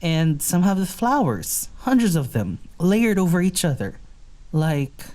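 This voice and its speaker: A woman's voice: lowish voice, sing-song, a little slow, a little mellow. A woman acting a curious, easygoing young traveler in an audio drama, musing conversationally with some hesitation. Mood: musing, wonder.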